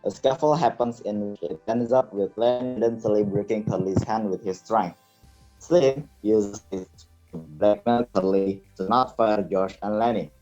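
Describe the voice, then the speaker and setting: A man's voice: on the low side, warm tone. A young adult man from Indonesia reading a prepared book summary aloud as a student. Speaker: student reader. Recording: thin phone-like audio with background music. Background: music.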